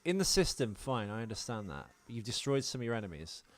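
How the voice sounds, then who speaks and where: A man's voice: mid-range voice, sing-song, a little fast. A man co-hosting a podcast, chatting casually about a film and explaining details as he goes. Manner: explanatory, reading out film continuity errors. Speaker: podcast co-host. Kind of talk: casual film-commentary chat.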